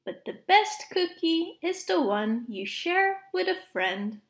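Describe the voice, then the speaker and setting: A woman reading children's picture books aloud to preschoolers in an expressive, measured way. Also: very high voice. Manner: expressive, measured phrasing with playful sound words. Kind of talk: storybook read-aloud for preschoolers.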